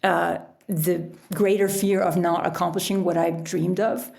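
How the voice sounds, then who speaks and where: A woman's voice: on the low side, flat delivery, a little slow, vocal fry, darkish tone. A middle-aged American woman talking conversationally as an interview guest, explaining things with frequent "you know" asides. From United States. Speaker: podcast guest, author and sailor.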